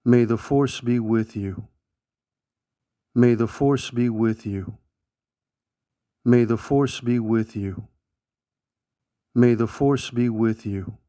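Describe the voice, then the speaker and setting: A man delivering short, isolated catchphrases in a deliberate way, as sound effect clips. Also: mid-range voice, mellow.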